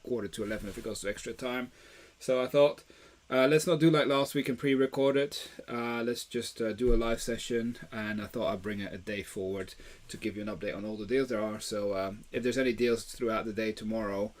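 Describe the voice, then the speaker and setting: A man from Belgium hosts a casual live stream, walking viewers through deals in an easygoing, unscripted way. His voice sits at an ordinary middle pitch, and he often pauses on fillers and restarts his sentences. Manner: chatty, many fillers and restarts.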